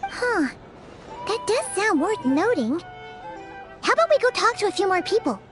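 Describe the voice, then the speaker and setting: A woman's voice: very high-pitched, sing-song, moderate pace. A woman voicing a small, childlike fairy companion girl in a video game, cheeky and curious. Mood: thoughtful. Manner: refers to self by name, chatty. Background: music.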